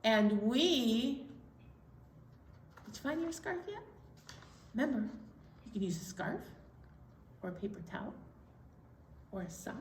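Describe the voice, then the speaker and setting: A woman leads a children's story time with playful, simple instructions. Her voice is on the high side and very animated, at an easy, slowish pace, in an echoey room. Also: slight background noise. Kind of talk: interactive storytime, addressing young children. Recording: echoey room, distant mic.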